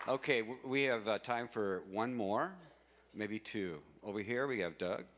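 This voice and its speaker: A man's voice: lowish voice, unhurried, fairly bright tone. A man hosting a live community gathering, upbeat and laughing often as he calls on speakers. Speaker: event emcee. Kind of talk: live event hosting with audience.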